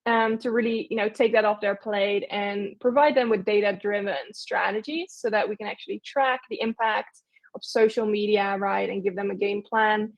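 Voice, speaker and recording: A young woman talks in a chatty, loose way as an interview guest, full of fillers and quick restarts. Her pitch sits in the middle for a woman. The sound is a little dull and lo-fi, like an online call. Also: steady tone, creaky. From Netherlands. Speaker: guest, agency owner. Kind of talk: casual interview chat.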